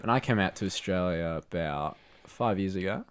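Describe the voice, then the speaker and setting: Young man from chile, co-host chatting casually, low voice, mock-grand jokes. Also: normal speed, clean tone. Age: young adult. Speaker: podcast co-host. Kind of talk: casual chat.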